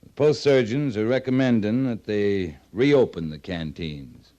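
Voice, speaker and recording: An actor playing a terse, dry-witted American cavalry captain in an old-time radio western. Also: male voice, lowish voice, a little slow, lo-fi sound, lightly acted. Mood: blunt, skeptical. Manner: terse, deadpan, plain-spoken.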